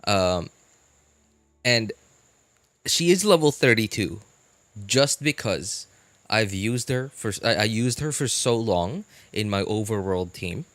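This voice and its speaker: Guy streaming a game, lowish voice, close dry sound, chatting w viewers, repeats himself a lot. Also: no echo. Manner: halting, repeats phrases, animated incredulous reactions. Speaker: live streamer, gaming host. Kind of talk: casual live-stream chat, answering viewer messages.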